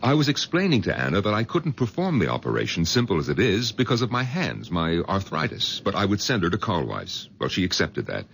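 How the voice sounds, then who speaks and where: An actor playing a proud, exasperated, pompous doctor in a radio drama. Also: male voice, fairly low pitch, wildly swooping pitch, brisk, gravelly, hardly any pauses, a bit bright, slight background noise, exaggerated voice. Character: middle-aged male doctor, proud and irritable. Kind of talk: 1970s radio drama acting.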